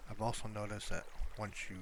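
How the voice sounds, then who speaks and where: Low-voiced man, casual gamer, noisy recording. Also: flat delivery, brisk. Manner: rambling, unscripted asides. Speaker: gamer narrating own gameplay. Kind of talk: casual gameplay commentary. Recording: clearly noisy home recording.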